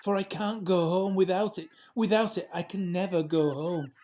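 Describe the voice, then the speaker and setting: A man's voice: sits high, a little mellow, heavy reverb, big-room sound, pitched above the performer's own voice, lightly acted. A man voicing a young, friendly, emotional mermaid girl in a storybook, with lilting, longing delivery. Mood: distraught, pleading. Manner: drawn-out, lilting invitations and pleas.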